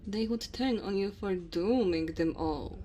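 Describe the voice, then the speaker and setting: An ancient dragon woman, acted by a woman addresses her human captive in an ASMR roleplay. She is commanding and playfully teasing, with unhurried delivery at a medium pitch. Faint ambient noise sits behind her voice. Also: mellow, close to an everyday voice. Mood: stern, condescending. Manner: haughty, teasing, addresses listener directly. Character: dominant, teasing immortal dragon woman claiming a human mate. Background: cave ambience and fire sound effects.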